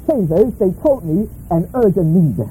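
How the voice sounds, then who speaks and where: A middle-aged man preaching a sermon in short, emphatic phrases with touches of humor, pausing for an interpreter after each one. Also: sits high, big pitch swings, mellow, a little hiss or hum, low-quality recording. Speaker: guest preacher. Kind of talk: sermon delivered through an interpreter.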